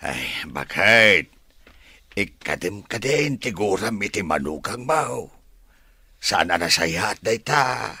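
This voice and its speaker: An actor with an Ilocano accent playing a blunt, grumbling, teasing husband and son in a radio drama. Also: male voice, fairly low pitch, sing-song, slow pace, creaky, pushed and bright, breathy edge, dry recording, studio quality, exaggerated voice. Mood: teasing, indignant.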